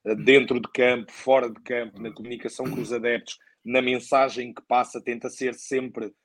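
A man's voice: mid-range voice, flat delivery, normal speed, no echo. A man with a European Portuguese accent answering in a podcast conversation as a guest, thoughtful and hesitant, often repeating words for emphasis. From born in Angola, moved to Portugal very young. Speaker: guest, football coach.

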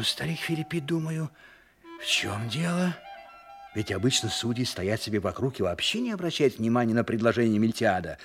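A man's voice: mid-range voice, natural voice. An actor playing a flustered, folksy city judge who grumbles through his story in a theatrical audio drama monologue. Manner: chatty, grumbling, exclamatory storytelling.

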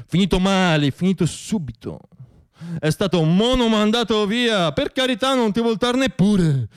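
A man's voice: high voice, gravelly. A man tells stories as a stage monologist. His delivery is theatrical and comic, with a wry, self-deprecating bent. He likes to repeat words for effect. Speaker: monologue storyteller and stage performer.

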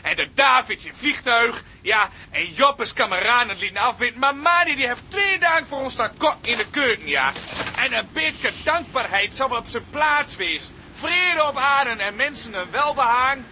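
An actor playing an indignant, fed-up man in a Dutch radio drama, forceful and given to shouting. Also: very high voice, lively intonation, moderate pace, a little hiss or hum, heavily acted. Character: indignant, fed-up man defending a slaving Christmas cook.

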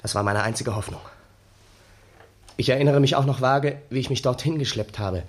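An actor playing a haunted, bitter young ex-soldier, telling his story wearily and dramatically in a gravelly, husky voice for a 1960s German radio play. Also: mid-range voice, normal speed, close to an everyday voice. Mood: haunted recollection.

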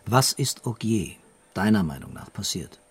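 An actor playing a blunt, menacing police detective in a radio play, questioning insistently in a husky voice. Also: male voice, low-pitched, very animated, moderate pace, exaggerated voice. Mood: probing, sceptical.

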